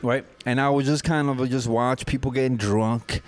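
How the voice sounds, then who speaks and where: Man, thirties, laid-back storyteller, casual podcast chat, mid-pitched voice. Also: fairly even intonation, normal speed, faint music behind. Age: 30s. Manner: storytelling, laid-back, says "you know what I'm saying" often. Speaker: podcast guest, barber.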